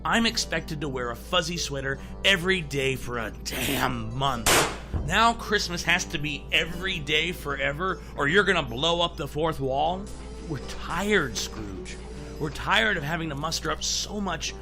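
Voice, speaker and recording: A man's voice: on the high side, lively intonation, unhurried, over music. A man playing a weary, sardonic employee given to griping in a comedic audio drama. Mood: exasperated.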